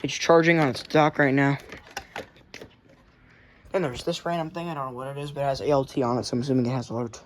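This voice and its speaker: A man's voice: medium pitch, fairly even intonation, fast talker, long pauses, a little hiss or hum. A teenage boy casually showing his weather radio collection at home, rambling and often correcting himself. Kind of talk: casual show-and-tell.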